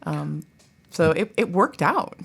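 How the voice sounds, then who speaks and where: Chatty lady w a low voice, excited guest on a casual interview. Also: takes it slowly, vocal fry, husky. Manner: enthusiastic, chatty. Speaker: guest, college theatre director.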